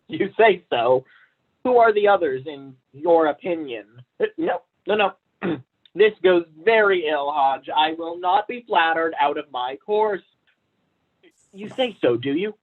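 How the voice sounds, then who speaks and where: The sound is narrow and phone-like. A young man plays a pompous poet and husband in a period stage comedy, his voice pitched somewhat high. He is vain and blustering, with stiffly formal speech. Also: lively intonation, slow pace. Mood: wavering, flattered. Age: young adult. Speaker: student actor.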